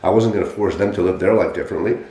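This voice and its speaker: A lower-pitched man of about forty talks with a mellow, dark tone, and his voice gets emphatic as he tells his story. His many restarts are clear in an echoey room. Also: very fast. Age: 40s. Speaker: podcast host sharing his fitness story. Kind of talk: casual podcast chat.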